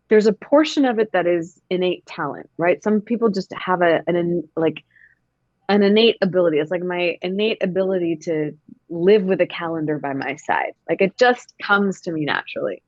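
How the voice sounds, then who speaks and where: Woman actor, interview guest, slightly low pitch, dry dull recording. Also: no echo. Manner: reflective, expressive, emphatic. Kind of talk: casual interview chat.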